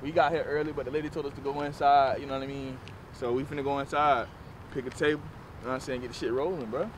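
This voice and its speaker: A man's voice: medium pitch, fairly even intonation, quick pace. A man, chatting casually as a vlogger selling clothes, in loose, joking, slangy banter. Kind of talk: casual vlog chat. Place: car at a drive-thru, then an indoor mall food court. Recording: loud background noise.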